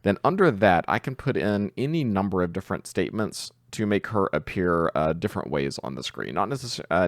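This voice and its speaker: A man giving an informal screen-share software tutorial, thinking aloud hesitantly as he goes. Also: sits low, no echo, studio quality. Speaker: tutorial host, coding instructor.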